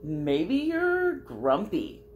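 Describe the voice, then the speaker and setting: A storybook gorilla neighbor, read by a woman. He is a frank busybody who makes his pointed observations slowly and plainly. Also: on the low side, wildly swooping pitch, a little mellow, muffled recording, a little hiss or hum, full character voice. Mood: matter-of-fact.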